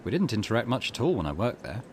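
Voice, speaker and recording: A man's voice: fairly low pitch, sing-song, fast talker, a little mellow, a little hiss or hum. A man hosting a gaming channel, reading game text aloud and adding musing commentary, with faint game ambience behind him.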